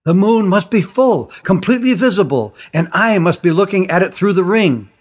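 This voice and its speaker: A man voicing an eager young heroine in a storybook, talking in excited, breathless exclamations. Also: sits high, moderate pace, slightly rough, voice pushed up.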